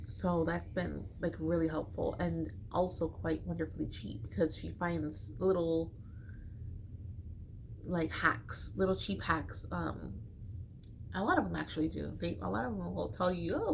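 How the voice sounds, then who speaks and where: A woman's voice: lowish voice, vocal fry. A middle-aged American woman from Chicago chatting casually to her viewers in a rambling, informal, slangy way. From Chicago, United States. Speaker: vlogger. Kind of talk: casual chat to camera. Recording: noticeable background noise.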